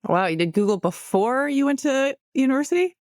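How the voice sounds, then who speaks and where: A woman's voice: high-pitched, expressive, brisk, darkish tone, dry recording, clean studio sound. A woman hosting a podcast, upbeat and enthusiastic as she introduces a guest and asks questions. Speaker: podcast host. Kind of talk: scripted intro then interview questions.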